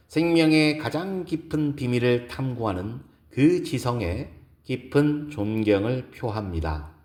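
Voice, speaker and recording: A man's voice: medium pitch, a little mellow, reverberant, voice pushed up. A man voicing a poised woman executive who gives an earnest, formal lecture in a scripted audio drama. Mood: respectful, formal. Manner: formal, deliberate, rhetorical.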